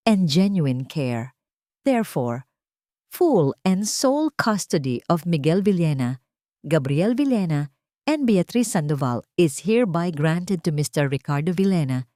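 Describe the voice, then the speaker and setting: A woman voicing a firm, solemn judge who delivers a ruling in a formal, measured, authoritative way for an audiobook. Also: sits low, warm tone, no echo, studio quality. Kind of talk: audiobook character dialogue in English.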